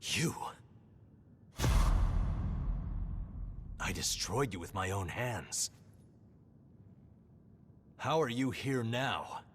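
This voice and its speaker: An actor playing a menacing, smug male villain in a video game, with a taunting, theatrical and deliberate delivery. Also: on the low side, expressive, a bit bright, slight background noise. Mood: incredulous menace. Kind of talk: dramatic video game voice acting.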